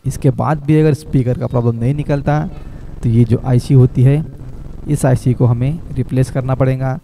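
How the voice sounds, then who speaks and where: Man with a very dark voice. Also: medium pitch, slight background noise, rough recording. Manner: explains step by step, often says "friends" to viewers. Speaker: mobile repair technician. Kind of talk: phone repair tutorial.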